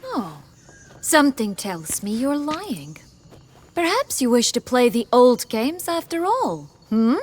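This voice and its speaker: A woman's voice: a little high, big pitch swings, takes it slowly. A woman playing a cool, sardonic young noblewoman in a video game, sly, mocking and commanding. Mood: menacing, mocking. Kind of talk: video game character acting.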